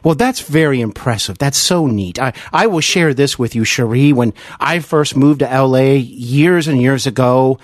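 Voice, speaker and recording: A middle-aged American man interviewing conversationally as a podcast host, effusive and often repeating words haltingly. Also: mid-range voice, no echo, clean studio sound.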